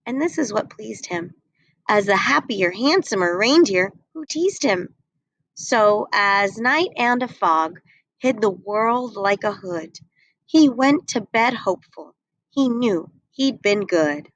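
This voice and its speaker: Slow, expressive woman reading aloud. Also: medium pitch, no room sound, rough recording. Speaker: reader. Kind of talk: picture book read-aloud. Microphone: webcam.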